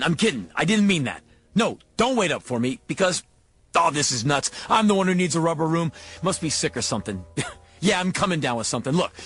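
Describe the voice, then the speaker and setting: High-strung American car salesman, swooping pitch. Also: fairly bright tone, slightly rough, exaggerated voice. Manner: slangy, fast-talking, exclamatory. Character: slick, rattled used-car salesman boss turned compulsively truthful. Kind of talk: radio drama acting.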